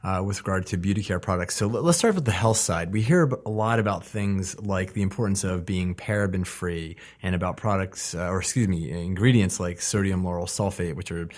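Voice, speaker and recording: Deep-voiced male radio host. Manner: curious, measured questioning. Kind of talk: interview questions.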